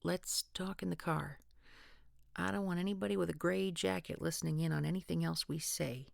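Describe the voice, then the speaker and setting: Woman voicing a gruff, wisecracking group leader. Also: lowish voice, steady tone, moderate pace, darkish tone, dry recording, studio quality. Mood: firm. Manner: gruff, wisecracking, swears often. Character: blunt, foul-mouthed woman leading a paranormal group. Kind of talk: scripted audio roleplay.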